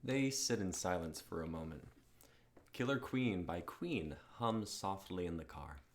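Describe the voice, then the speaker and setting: A man's voice: deep voice, animated, unhurried. A young man reading stage directions as the narrator of a scripted dramatic reading. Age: young adult.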